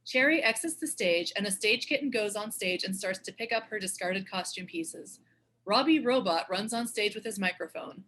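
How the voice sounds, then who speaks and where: A woman's voice: mid-range voice, animated, moderate pace, fairly bright tone. Woman reading stage directions, slight noise. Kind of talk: scripted narration.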